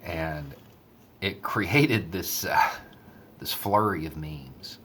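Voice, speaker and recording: A man giving casual, conversational commentary and storytelling in a measured way. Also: low-pitched, fairly bright tone, a little hiss or hum. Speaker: online commentator.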